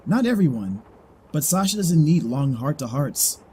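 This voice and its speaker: A man plays a laid-back, caring young male survivor in an audio drama. His voice is mellow with lively swings in pitch, casual and sincere, over background noise. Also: normal speed. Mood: worried, sincere.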